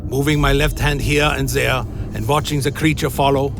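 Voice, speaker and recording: A man playing a haunted, wary adventurer who tells his own dark fantasy tale in a radio play, in solemn literary storytelling. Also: mid-range voice, flat delivery, normal speed, noisy background, close to an everyday voice. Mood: grave, wary. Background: music.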